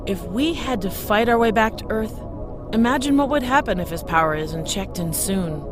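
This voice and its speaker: A woman's voice: mid-range voice, expressive, normal speed, over music, close to an everyday voice. A woman playing a stern Klingon starship commander in a sci-fi audio drama, speaking in a formal, clipped military way. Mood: grave.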